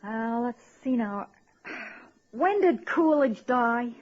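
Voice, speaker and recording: An actress playing a brash, kooky, uneducated woman with a Southern American accent in a radio comedy-drama, husky and sassy. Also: a little high, expressive, takes it slowly. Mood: breezy, quipping. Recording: dull vintage radio recording.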